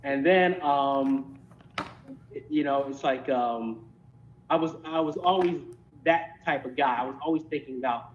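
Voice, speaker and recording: A man in his fifties from Philadelphia with an American accent, reminiscing casually as an interview guest in a halting way, repeating words as he goes. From Philadelphia, United States. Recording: dull lo-fi call, rumbling noise.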